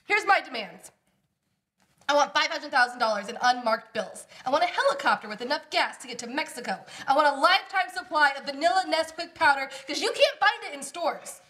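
A young woman playing an excitable, scheming, teasing sister with theatrical emphasis in a comedy table read. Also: high voice, brisk. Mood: scheming, playful. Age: young adult. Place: small indoor room at a table.

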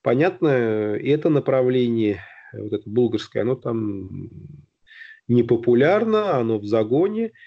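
A middle-aged man explaining at length on a video call, halting with many fillers and restarts. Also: mid-range voice, animated. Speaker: guest on a video-call round table. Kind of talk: casual discussion. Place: indoor room at home. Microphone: video call, phone or webcam close to the face. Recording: dull lo-fi video call audio.

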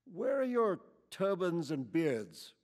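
A man's voice: fairly high pitch, animated, a little slow, long reverb tail. A man reading aloud and voicing a hostile, accusing young American woman who confronts strangers at a campground, with drawling slang.

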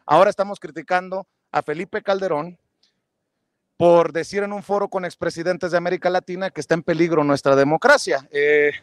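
A man's voice: sits high, steady tone, brisk, dry recording, clean studio sound. A Mexican man in his forties hosting a live street interview, asking long, rambling questions full of fillers. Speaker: interviewer, host. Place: busy city street outdoors with a crowd. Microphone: earbuds, handheld mic.

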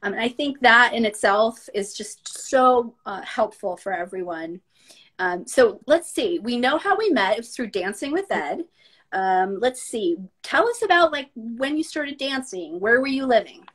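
Middle-aged lady hosting a webcam interview from home, kinda high voice, lots of ums and restarts. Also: animated, measured pace. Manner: warm encouraging, many fillers and restarts. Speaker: interview host. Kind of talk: live video call interview. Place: home room, indoors. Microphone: video call webcam.

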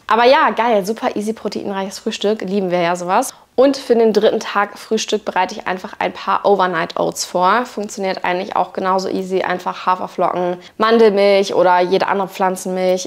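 A woman, chatting casually and colloquially as she narrates a home vlog on a clip-on mic. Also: on the low side. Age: 20s. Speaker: vlogger. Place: indoor room at home. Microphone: clip-on wireless lapel mic.

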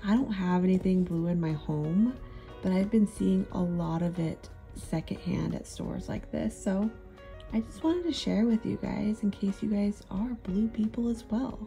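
A woman in her thirties narrating a thrift shopping trip in a chatty, relaxed, easily amused way. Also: medium pitch, unhurried, gravelly, soft background music. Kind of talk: casual voice-over narration.

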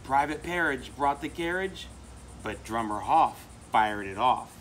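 American man, middle-aged, children's storybook reader, emphatic, lively varied pitch, outdoor recording, faint insect noise. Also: a little slow, poor audio. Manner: expressive, emphatic delivery. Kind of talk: reading a children's picture book aloud. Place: outdoors among palm trees. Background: crickets and insects.

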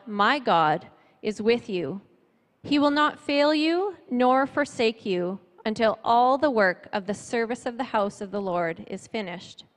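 A middle-aged woman speaking at church in a measured, earnest way, giving testimony and scripture from notes on a handheld microphone. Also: a little high. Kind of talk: sermon with personal testimony and scripture reading. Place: church stage before a seated audience, indoors. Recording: reverberant hall, amplified church sound.

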